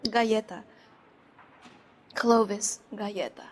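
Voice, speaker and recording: A woman's voice: medium pitch, fairly even intonation, slow pace. An actress playing a young female shop employee in a video game, an anxious and evasive murder witness who speaks hesitantly and guardedly. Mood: nervous, hesitant. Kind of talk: dramatic video game acting.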